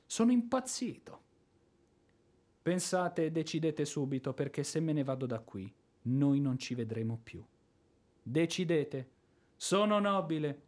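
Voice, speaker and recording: A blustering former artillery officer in a stage-style radio play. His voice sits fairly high and swings widely in pitch. He is bombastic, impulsive and helplessly smitten. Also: expressive, a little slow, darkish tone. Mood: desperate ardour. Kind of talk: theatrical radio drama acting.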